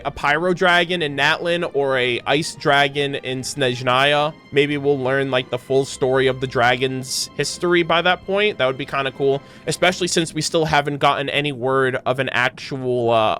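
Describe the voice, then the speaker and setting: A man's voice: a little high, sharp tone, clean tone. A man hosting a gaming reaction, reading lore aloud and commenting on it casually.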